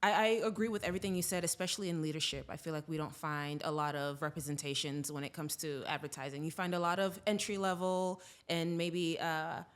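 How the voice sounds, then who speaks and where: A woman's voice: sits low, steady tone, fairly quick, few pauses. A woman from Boston speaking as a podcast guest, telling her story in a casual, informal, conversational way. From Boston, United States. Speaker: podcast guest, advertising professional.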